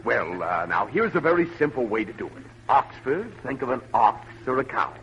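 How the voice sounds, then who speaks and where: An actor playing a fussy, earnest, officious doctor who is easily provoked, in an old radio comedy. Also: male voice, mid-range voice, lively intonation, moderate pace, a bit bright. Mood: patient, officious. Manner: officious, indignant. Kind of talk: 1940s radio comedy acting. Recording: muffled, hissy old radio recording.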